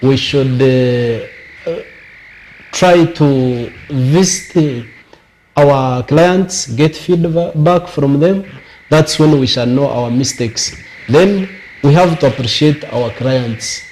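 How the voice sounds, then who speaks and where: A man, a guest on a panel, laying out his points slowly and with emphasis. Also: mid-range voice, a little mellow, a little hiss or hum, poor audio. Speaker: studio guest. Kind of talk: panel discussion.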